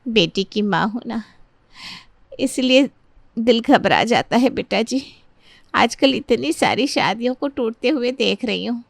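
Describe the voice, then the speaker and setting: A woman playing an anxious, gentle middle-aged mother of a bride in a family story, apologetic in manner. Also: medium pitch, expressive, normal speed, dry recording, close to an everyday voice. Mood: tearful, anxious.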